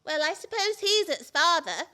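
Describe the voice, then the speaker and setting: A woman's voice: extremely high pitch, sing-song, moderate pace, bright, forceful tone, exaggerated voice. A woman playing a naive, guileless girl in a farcical radio play, chattering earnestly and dramatically. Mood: innocently matter-of-fact. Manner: earnest, wide-eyed, theatrical. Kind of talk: stage farce performed as radio play.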